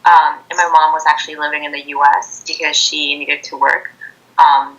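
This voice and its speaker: A young woman of twenty from Ecuador, with Spanish as her first language, gives informal interview answers. Her voice is low and bright, and it comes through a muffled internet call. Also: gravelly. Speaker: interview guest, college student. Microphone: Skype video call. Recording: dull, phone-like internet video call.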